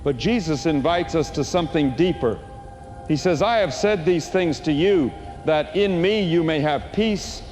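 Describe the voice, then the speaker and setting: Man acting as a higher-voiced, earnest small-town preacher. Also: darkish tone, faint music behind, close to an everyday voice. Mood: grave, fervent. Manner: grave, earnest, deliberate. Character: consoling, fervent male small-town preacher. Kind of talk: scripted evening sermon in an audio drama.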